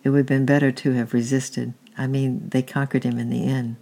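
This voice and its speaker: Man reading resentful young slave, gravelly, flat. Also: mid-range voice, a little fast, natural voice. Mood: defiant. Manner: bitter, defiant. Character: resentful young male slave, proud, bitter.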